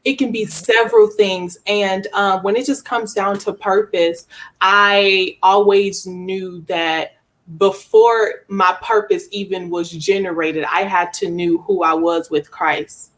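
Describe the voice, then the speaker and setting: Woman author on an interview, normal mid pitch, earnest motivational talk, leans on key words over and over. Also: steady tone, slow, fairly bright tone. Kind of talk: conversational, motivational faith talk.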